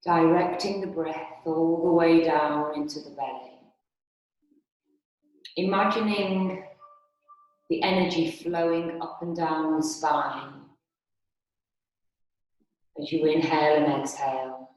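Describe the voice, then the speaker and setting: A woman instructor guiding restorative yoga and meditation in a calm, soothing, unhurried manner with long pauses. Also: sits low. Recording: very echoey, reverberant room.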